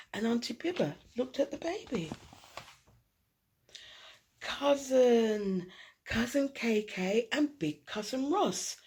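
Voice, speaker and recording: A woman reading a children's picture book aloud expressively, dramatic, with hushed asides and emphasis on repeated words. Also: on the high side, slightly rough. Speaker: storyteller.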